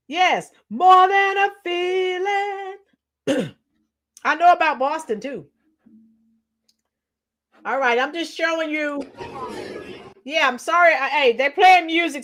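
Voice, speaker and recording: A middle-aged woman with a hoarse, tired voice chatting casually with livestream viewers, reading out comments and singing bits of songs. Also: high-pitched, a bit bright. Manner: chatty, reads out comments and sings along to songs. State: hoarse, losing her voice. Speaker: livestream host.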